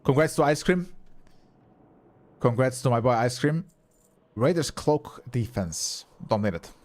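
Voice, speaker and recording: A young man streaming a game, with Croatian as his first language. He chats loosely with his viewers, answers their questions as he plays, and swears casually. His voice sits at an ordinary middle pitch for a man. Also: lively intonation, unhurried, darkish tone. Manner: chatty, reads and answers chat, swears casually. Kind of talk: casual live-stream chat while gaming.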